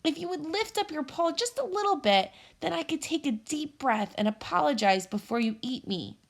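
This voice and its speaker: A small, cheeky storybook squirrel acted out by a woman, happy and quick-witted. The voice is pitched up high, chirping along in a singsong way. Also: expressive, creaky. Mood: pleading. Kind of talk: storybook character voice.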